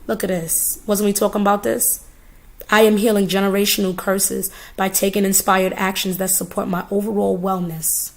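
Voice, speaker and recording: A hoarse woman giving a live oracle card reading, talking casually with swearing and repeated phrases. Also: mid-range voice, little pitch movement, normal speed. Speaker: spiritual reader.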